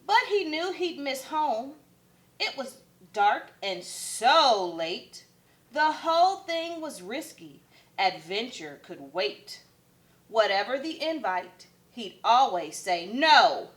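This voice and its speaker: A woman's voice: fairly high pitch, big pitch swings, slow, a bit bright. A woman, a first grade teacher, reading a picture book aloud expressively for children.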